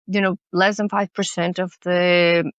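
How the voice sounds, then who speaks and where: A woman's voice: lowish voice, little pitch movement, a little slow, fairly bright tone, smooth, no room sound, lo-fi sound. Earnest woman guest, English, thoughtful, restarts often, dry recording. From Mexico. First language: Spanish. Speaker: guest, accessibility consultant. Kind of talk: podcast interview.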